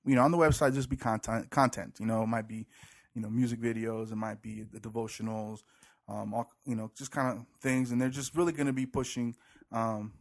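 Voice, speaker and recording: A man's voice: mid-range voice, fairly even intonation, a little fast. A man from Puerto Rico, raised in Georgia, co-hosting a casual podcast with a rambling, joking, self-deprecating way of talking. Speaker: podcast co-host. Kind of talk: casual chat. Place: indoor room, shared studio mics.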